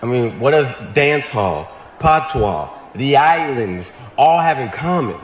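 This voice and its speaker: A man's voice: medium pitch, very animated, measured pace, echoey room, highs cut off. A man performing a comedy bit, joking and putting on a mock accent.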